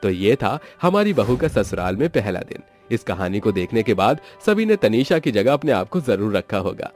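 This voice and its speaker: A man's voice: on the low side, sing-song, moderate pace, a little mellow, faint music behind. A man narrating a scripted story as the storyteller.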